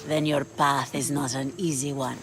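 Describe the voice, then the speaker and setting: An actress playing a shrewd, ruthless pirate queen in a video game, speaking with cool, mocking menace over loud music. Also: extremely low pitch, flat delivery, normal speed. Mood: sly. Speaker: pirate leader. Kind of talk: video game cutscene acting. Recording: game audio with loud score behind.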